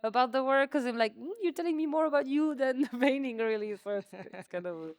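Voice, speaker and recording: Smooth, clear-voiced native French-speaking woman artist. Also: high voice, fast talker, no echo, clean studio sound. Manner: informal, self-deprecating, hedging with many likes. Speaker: artist, interviewee. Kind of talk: conversational artist's talk. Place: museum exhibition space.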